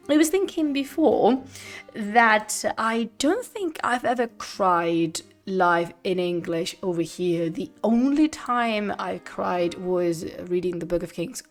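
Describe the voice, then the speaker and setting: Spanish-native woman chatting on stream, mid pitch, laughs and whispers a lot, soft music behind. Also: takes it slowly. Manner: lively, laughing, drops to whispers. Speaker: host. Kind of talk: casual livestream chat before a reading.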